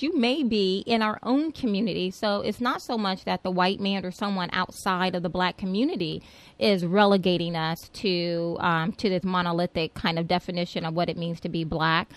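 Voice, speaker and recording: A woman's voice: mid-range voice, dry recording. A woman hosting a radio talk show, speaking emphatically and in an engaged way with her guests. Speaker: host.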